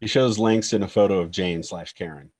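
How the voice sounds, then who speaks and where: A man's voice: deep voice, fairly quick, a little mellow. A man narrating a screenplay's stage directions in a scripted table-read style.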